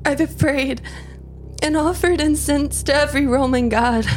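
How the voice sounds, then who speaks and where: A woman's voice: sits high, voice pushed up. A woman voicing a sick, despairing Roman governor's wife in a story drama, weakly lamenting.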